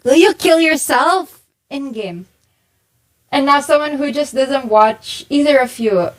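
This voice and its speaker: A woman's voice: high voice, animated. A woman streamer reading viewer confessions aloud and reacting in casual chat. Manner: exasperated, quick reading aloud.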